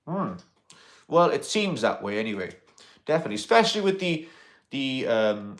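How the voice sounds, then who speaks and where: A man in an alien costume, chatting casually on a livestream and answering viewer comments in a rambling, excitable way. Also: mid-range voice. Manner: rambling and excitable, with many fillers and restarts; loud bursts of excitement between quieter asides.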